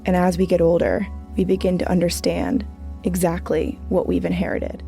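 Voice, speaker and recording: A woman co-hosting and narrating a documentary series trailer in a measured, earnest scripted read. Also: lowish voice, flat delivery, vocal fry, mellow, faint music behind. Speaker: co-host and narrator.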